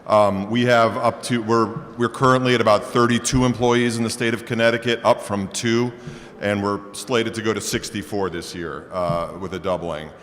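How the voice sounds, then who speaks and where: A man, a biotech founder and CEO, giving brisk, matter-of-fact facts about his company on a panel. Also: on the low side, steady tone, a bit bright. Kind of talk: panel introduction. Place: conference hall. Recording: long hall reverb, faint room noise.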